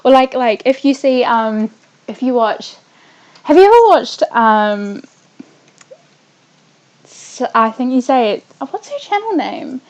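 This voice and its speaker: A young woman with a mild New Zealand accent talks casually through a home gaming stream. Her voice sits a touch high, and she wanders between thoughts, leaves sentences unfinished, and fills gaps with hums and little noises. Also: sing-song, measured pace, long pauses, darkish tone. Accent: New Zealand, slightly softened by Australia. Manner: rambling, scattered, trails off mid-sentence; hums and makes small random noises while working. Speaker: gaming livestreamer. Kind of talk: casual livestream chat while building in a game.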